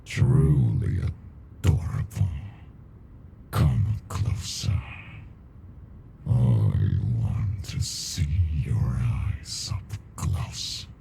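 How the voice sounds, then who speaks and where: Extremely deep, gravelly alien captive. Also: male voice, expressive, warm tone, a little hiss or hum, exaggerated voice. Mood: menacing, teasing. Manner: slow, menacing, condescending, breathy murmuring. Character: captive male alien, ancient, arrogant, amused predator toying with humans. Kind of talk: ASMR monster roleplay, scripted monologue.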